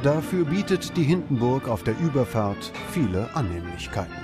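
A man narrates a documentary from a script, with a medium-pitched voice. His delivery is measured and dramatic, and music plays clearly behind him. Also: sing-song. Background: music bed.

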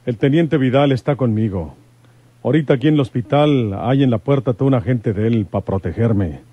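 A man's voice: medium pitch, fairly quick, breathy edge, lo-fi sound, lightly acted. An actor playing a folksy, brave rural lawman in a radio serial, with a Mexican accent and rustic colloquial speech. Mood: fatherly, confident. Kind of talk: old-time radio serial acting.

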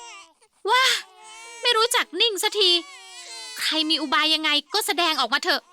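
A hot-tempered young rich man, as a woman reader puts him on, all petulant shouting and sing-song whining. The voice is bright and swoops high, with faint hiss under the storybook reading. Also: animated, a little slow, exaggerated voice. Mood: irritated. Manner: loud, high and petulant, sings a children's song.

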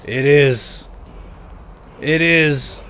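A man's voice: on the high side, extremely slow, fairly bright tone, a little hiss or hum. A man giving playful, theatrical, lightly role-played video game commentary, talking to his companion as he plays. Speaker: gaming video host. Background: game ambience and effects.

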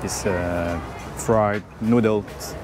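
A man with a Thai accent giving an unscripted food tour commentary, naming things briefly item by item with pauses between them. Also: lowish voice, fairly even intonation. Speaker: food market vlogger. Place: outdoor evening food market. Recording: noisy outdoor street market with traffic.

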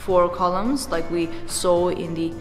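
A woman in her thirties with an Armenian accent, guiding a visitor through a monastery, informative with frequent hesitant pauses. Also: on the low side, fairly even intonation, soft background music. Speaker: tour guide. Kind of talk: explaining history to a visitor. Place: outdoors at a monastery and inside a stone church.

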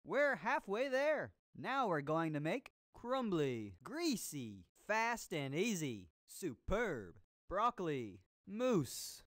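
A man's voice: high voice, sing-song, takes it slowly, hardly any pauses, a bit bright, no echo, studio quality, heavily acted. A man playing an upbeat, groovy disco cooking show host in an educational game, with exaggerated jive talk and showy delivery. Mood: groovy, playful. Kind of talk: scripted educational game character performance. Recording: music behind the voice.

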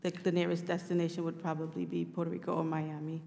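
A middle-aged woman giving formal testimony as a witness, measured and earnest, phrasing things carefully. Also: fairly low pitch, little pitch movement, gravelly, mellow. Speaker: witness at a congressional hearing. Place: large indoor committee hearing room. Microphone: table microphone. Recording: echoey hearing room, long reverb.

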